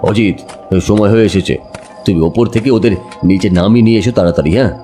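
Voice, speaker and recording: Casting: male actor for confident sleuth in an audio story, lowish pitch, instructing calmly, music behind. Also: a little fast, lightly acted. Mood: assured, urgent. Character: calm male detective giving quiet, confident instructions. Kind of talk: audio drama acting. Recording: reverberant, music under the voice.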